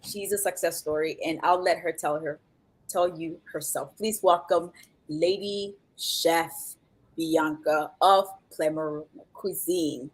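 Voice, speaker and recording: Live show host: woman, lowish pitch, effusive, repeats herself for emphasis. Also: steady tone, slow pace, husky, low-quality recording. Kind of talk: live stream introduction and interviewing.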